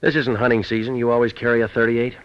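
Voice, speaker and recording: An actor playing a tough, wisecracking, cynical private eye, terse and deadpan, in an old-time radio detective drama. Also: male voice, medium pitch, fast, no room sound, close to an everyday voice. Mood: tough, needling. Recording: dull, noisy old radio recording.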